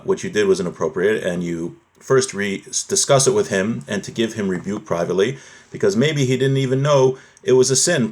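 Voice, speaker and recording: A man teaching a live religious class, reading from a text, translating it and explaining it point by point, often rephrasing. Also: on the low side.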